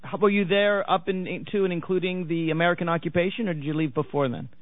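A man's voice: sits high, steady tone, fast talker, no echo, phone-quality sound. Guy hosting a talk radio show, kinda wry, sounds thin like over a phone, pretty dry. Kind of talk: radio interview.

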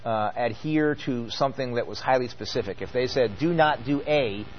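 A man's voice: medium pitch, moderate pace, highs cut off, a little hiss or hum. A man co-hosting a call-in radio show who argues his side with frequent uh fillers and restarts. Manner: argumentative, hesitant with many uh fillers. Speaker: radio co-host.

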